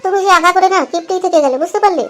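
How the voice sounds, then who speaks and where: A woman's voice: very high-pitched, racing, a bit bright. A woman voicing a nagging, easily annoyed young wife in an amateur comedy cartoon. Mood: pleading.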